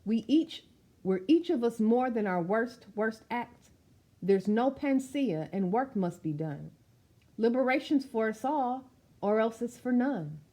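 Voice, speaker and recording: Expressive, mellow-voiced woman reading a storybook aloud. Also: a little high, measured pace. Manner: expressive, addresses young listeners directly. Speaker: storytime reader. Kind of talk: read-aloud of a rhyming children's picture book with chatty asides.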